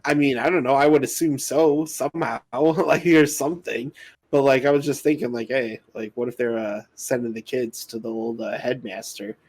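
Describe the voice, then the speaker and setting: Man, podcast co-host, joking and giggly, middle pitch. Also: steady tone, normal speed, a little mellow, slightly rough. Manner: laughs often, loose and joking. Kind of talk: casual chat.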